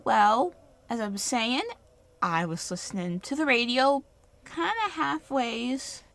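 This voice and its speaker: A woman's voice: fairly high pitch, animated, slow, a bit bright, full character voice. A woman playing a rambling, folksy farm woman in a 1930s-style radio play, with rustic turns of speech. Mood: rambling, drowsy.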